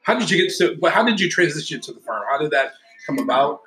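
Middle-aged male host, bright and a bit high, casual rambling questions; echoey room. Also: fast. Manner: relaxed, rambling questions with fillers. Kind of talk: casual interview chat. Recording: echoey room, faint noise.

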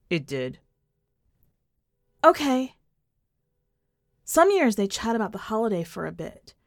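Woman reading a short story audiobook style, expressive, mid voice, really dry sound. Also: medium pitch, no room sound. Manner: expressive, acts out dialogue. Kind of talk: scripted audiobook-style fiction narration.

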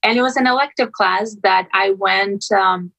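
A young adult woman from Albania speaks as a guest on a podcast. Her voice is bright and sits at an average pitch. She talks informally, telling things in a loose conversational style. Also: normal speed, rough recording. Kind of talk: casual conversational storytelling.

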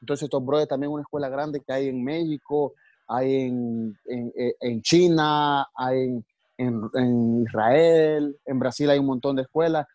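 A man's voice: medium pitch, fairly even intonation, a little slow, darkish tone, no room sound, lo-fi sound. A man from El Salvador with a Salvadoran accent, a martial arts coach telling his story earnestly in an interview, often restarting his sentences. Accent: Salvadoran Spanish. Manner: earnest, many restarts and religious asides.

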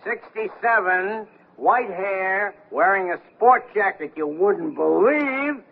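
An actor playing an excitable, officious bomb squad patrolman in a radio comedy. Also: high-pitched, takes it slowly, vocal fry, heavily acted. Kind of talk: 1970s radio comedy acting. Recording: tinny 1970s radio with faint noise.

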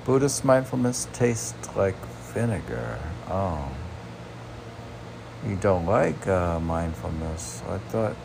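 A man reading poetry informally, halting and musing, talking back to himself in a gravelly voice. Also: sits low, big pitch swings. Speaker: poet reading own work and commenting. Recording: noticeable background noise.

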